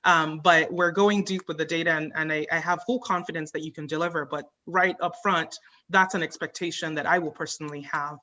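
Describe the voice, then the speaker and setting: A woman on an advisory committee giving earnest, measured remarks at a public meeting, pausing often on "um". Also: low-pitched, fairly even intonation, sharp tone. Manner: earnest, measured, frequent ums.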